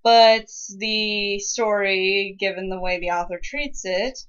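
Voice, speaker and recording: A woman giving casual critical commentary in a pedantic, halting way, on a lo-fi recording. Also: medium pitch, smooth.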